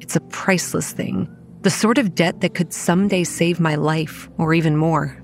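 A woman's voice: deep voice, steady tone, normal speed, faint music behind. Wry young woman narrating horror. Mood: measured, uneasy. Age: young adult. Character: Kate, wry, anxious young woman running a campground. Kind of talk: scripted first-person horror narration.

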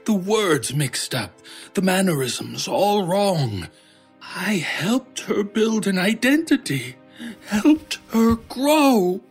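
Bereft shopkeeper, husky, very slow, emotional, reproachful, horror radio play, music faintly behind. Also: male voice, high-pitched, sing-song, heavily acted. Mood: shocked, grieving. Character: grieving, protective shopkeeper, bitter at injustice. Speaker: voice actor. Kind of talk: audio horror drama acting.

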